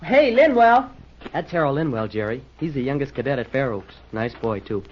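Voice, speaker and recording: An actor playing a sensible, helpful teenage cadet in an old-time radio serial, easygoing and reassuring. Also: male voice, medium pitch, sing-song, moderate pace, natural voice. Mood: cheerful. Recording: noisy, muffled old radio recording.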